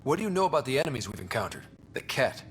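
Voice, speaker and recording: Quick, earnest explorer hero. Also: male voice, medium pitch, big pitch swings, a bit bright, slight background noise. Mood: curious. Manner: brisk, inquisitive. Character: young human male explorer hero, earnest and inquisitive. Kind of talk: video game dialogue.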